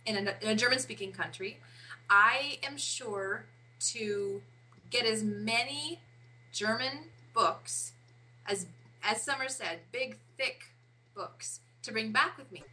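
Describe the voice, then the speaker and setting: A woman in her forties, an interview guest, talking haltingly and often pausing to search for words. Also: mid-range voice, bright, forceful tone, poor audio. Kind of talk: casual interview chat.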